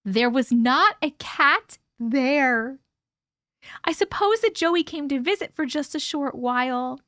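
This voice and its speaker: Bright high-voiced chatty woman host. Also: expressive, slow, no echo, studio quality. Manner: chatty, effusive, talks to chat viewers. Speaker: livestream host reading viewer stories. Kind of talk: casual live chat and reading aloud. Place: at home with her cat.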